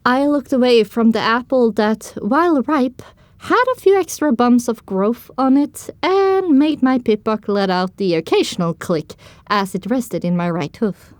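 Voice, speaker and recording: Woman as a high-voiced heroine narrating, dry studio sound. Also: a little slow, no echo, lightly acted. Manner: descriptive, expressive storytelling. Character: young female pony heroine recounting her own story. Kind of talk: scripted first-person audiobook narration.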